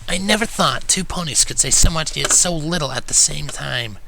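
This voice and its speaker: A brash, easygoing female pony from a cartoon story, acted out by a man. The voice is pushed high with wide swings in pitch. The delivery is casual and lazy. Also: expressive, moderate pace, gravelly, sharp tone, a little hiss or hum, full character voice, low-quality recording. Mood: smirking. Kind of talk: cartoon fan fiction character reading.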